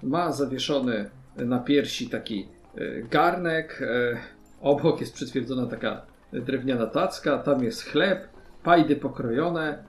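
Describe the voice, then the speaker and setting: A man's voice: medium pitch, takes it slowly. Man game-mastering in a roomy space. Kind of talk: narrating a tabletop fantasy role-playing game. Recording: roomy, faint background noise.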